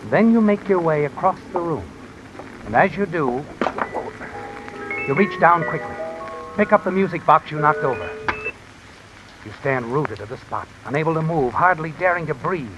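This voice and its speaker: A man playing an ominous, all-knowing suspense narrator, telling the tale deliberately to the guilty character in 1950s radio style. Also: medium pitch, close to an everyday voice. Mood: hushed suspense. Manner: hushed, deliberate, dramatic second-person telling. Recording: muffled noisy vintage radio, rain behind.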